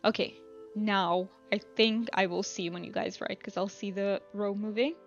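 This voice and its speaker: A woman live streamer chatting casually while playing a video game, relaxed and rambling as she thinks aloud. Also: mid-range voice, moderate pace, vocal fry, lo-fi sound. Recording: music playing behind the voice. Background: music.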